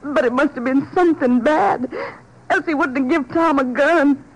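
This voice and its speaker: A woman's voice: high-pitched, normal speed, rough recording. A woman playing a distressed, pleading working-class wife with folksy, tearful speech in a 1950s radio drama. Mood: tearful, worried. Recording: old 1950s radio recording.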